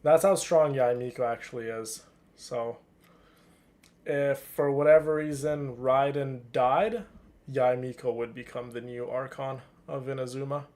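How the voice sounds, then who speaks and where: A man's voice: medium pitch, fairly even intonation, a little slow, a little mellow. A man chatting casually on a live stream while playing a game. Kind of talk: casual live-stream chat during gameplay.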